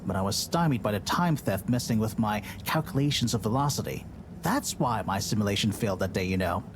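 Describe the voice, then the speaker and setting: An actor playing a hesitant, nerdy male scientist in a video game, earnest, halting and rambling. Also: fairly low pitch, lively intonation, background noise. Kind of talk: scripted video game dialogue.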